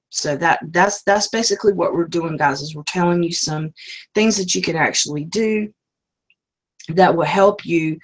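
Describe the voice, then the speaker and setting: A woman hosting a live business stream, rambling casually with many fillers and losing her voice. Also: on the low side. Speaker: host.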